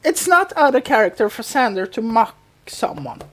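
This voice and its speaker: A woman's voice: fairly high pitch, very animated, moderate pace. A woman co-hosting a podcast in a casual recap chat, telling the story and acting out quotes as she goes. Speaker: podcast co-host.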